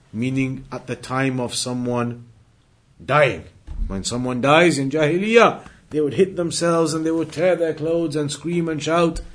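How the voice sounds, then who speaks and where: Higher-voiced man teaching a religious lesson. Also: a bit bright. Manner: didactic, deliberate, emphatic repetition. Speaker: Islamic studies teacher. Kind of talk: religious lesson, explaining and translating recited quotes.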